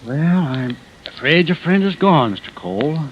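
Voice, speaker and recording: An actor playing a kindly, observant country doctor in 1950s radio drama, with a folksy, consoling manner. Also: male voice, on the high side, big pitch swings, moderate pace. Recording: muffled, noisy vintage radio recording.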